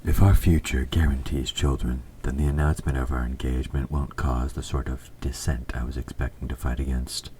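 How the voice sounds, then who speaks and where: A man playing an evil, possessive king in an audio roleplay, speaking formally and with measured, quiet menace, his S sounds sharp. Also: rumbling low, level tone, a little hiss or hum. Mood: smugly satisfied. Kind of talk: scripted audio roleplay, intimate dramatic monologue.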